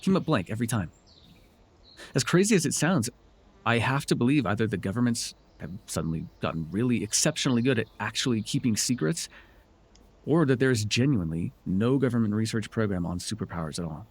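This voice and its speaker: A man's voice: lowish voice, expressive, fairly quick, breathy edge. A man playing a smug, teasing telepath supervillain who mentors a hero, in a wry, theatrical yet conversational ASMR roleplay. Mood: earnest, exasperated.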